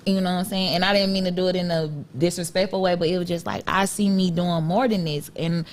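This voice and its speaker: A woman's voice: on the low side, fairly even intonation, fast talker. A young woman from Ohio co-hosting a podcast, talking candidly and casually, laughing and swearing freely. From Akron, Ohio. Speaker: podcast co-host. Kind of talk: casual chat.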